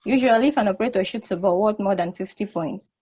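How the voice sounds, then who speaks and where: Woman acting, wry bossy space probe, husky, stage play read, slightly muffled recording. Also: mid-range voice, fast, dark tone, lightly acted. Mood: wry, casual.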